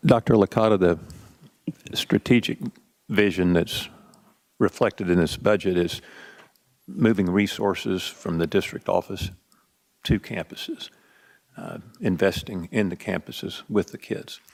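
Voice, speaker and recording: A man on a school board putting a measured, halting question to an official. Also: low voice, vocal fry. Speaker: board member. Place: board meeting room.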